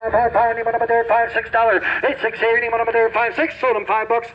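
A man's voice: extremely high pitch, level tone, fast. A man working as an auctioneer, calling sales in a repetitive patter, heard through a dull distant sound system. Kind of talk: auction calling with rapid chant. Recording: dull, distant loudspeaker, light background noise.

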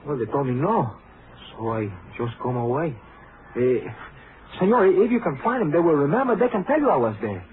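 An actor playing a frightened, pleading suspect with a Mexican accent in a radio drama. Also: male voice, fairly high pitch, big pitch swings, normal speed, a little hiss or hum. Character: frightened, pleading Mexican man under arrest. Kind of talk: 1950s radio drama acting. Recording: narrow-band old radio recording.

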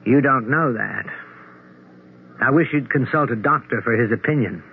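An actor playing a skeptical, concerned friend, measured and blunt, in a 1970s radio drama. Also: male voice, mid-range voice, vocal fry, narrow, tinny audio, close to an everyday voice. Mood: grave, concerned. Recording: thin, hissy 1970s radio recording.

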